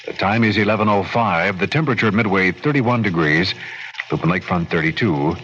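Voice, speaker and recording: A male station announcer reads time and weather in a news radio style. His voice is very low, over a dull broadcast with noticeable background noise. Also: brisk, a bit bright.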